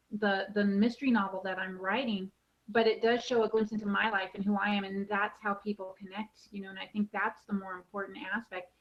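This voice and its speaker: A woman's voice: mid-range voice, lo-fi sound. A woman co-host chatting in a friendly, anecdotal way on a live online panel.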